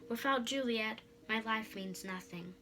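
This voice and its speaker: A woman's voice: a little high, unhurried, sharp tone, soft background music. A woman voicing a heartbroken, resolute young man in love in a storybook, solemn and impassioned.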